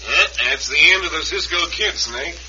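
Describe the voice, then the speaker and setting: A man's voice: on the high side, expressive, normal speed, lightly acted. A man playing a cold-blooded, gloating outlaw boss with an American Western accent and menacing folksy talk, in an old radio western. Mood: callous. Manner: menacing, folksy rough grammar. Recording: dull, noisy old radio recording.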